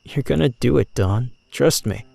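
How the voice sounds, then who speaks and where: A man's voice: medium pitch, moderate pace, gravelly, dark tone, no room sound. A man playing a troubled, earnest young mutant turtle brother in an audio comic drama. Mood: encouraging.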